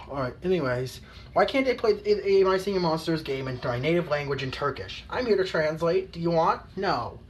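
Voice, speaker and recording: A woman's voice: low-pitched, expressive, normal speed, distant mic, a little hiss or hum. A woman hosting a casual reaction commentary in a mocking tone, often stumbling and repeating words. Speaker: commentary host.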